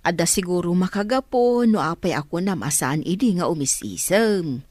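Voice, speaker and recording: A woman's voice: on the low side, animated, moderate pace, darkish tone, no room sound, studio quality. A woman playing a curious, kindly female relative in a radio drama, with an Ilocano accent. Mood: curious, approving.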